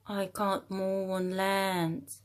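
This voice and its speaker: Woman doing a slow, low moaning whale. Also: monotone. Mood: moaning, helpless. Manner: moaning, drawn-out. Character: huge moaning helpless whale, heavy and weary.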